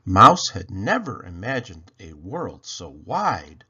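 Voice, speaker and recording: Male children's read-aloud narrator, husky and bright, highly animated delivery, slightly dull recording. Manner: theatrical, deliberate phrasing. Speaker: children's storybook reader. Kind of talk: expressive rhyming picture-book read-aloud.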